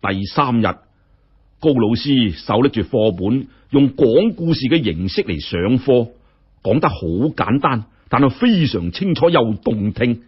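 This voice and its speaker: Animated Hong Kong Cantonese male storyteller, husky. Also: medium pitch, lo-fi sound. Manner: colloquial, theatrical, exclamatory. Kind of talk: animated scripted story narration.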